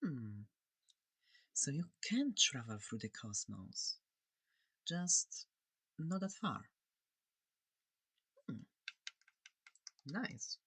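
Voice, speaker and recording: A friendly alien examiner played by a performer in an ASMR roleplay, speaking slowly and softly in a close, dry sound. The voice is breathy with some gravelly creak, and the manner is curious, polite and gently reassuring. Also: medium pitch, sing-song, dry recording, full character voice. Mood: curious, thoughtful. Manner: soft-spoken, hesitant, gently inquisitive.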